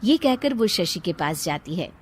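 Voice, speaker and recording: Lively woman narrating a cartoon story. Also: fairly low pitch, moderate pace, very noisy.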